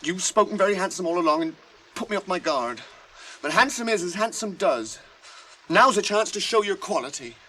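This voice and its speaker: An actor in a radio drama playing a loyal, plain-spoken manservant, humble and protective, with homely, deferential phrasing. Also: male voice, high-pitched, lively intonation, fairly quick, bright, forceful tone. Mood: flustered, defiant. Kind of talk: 1980s radio drama acting. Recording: radio drama with clear background noise.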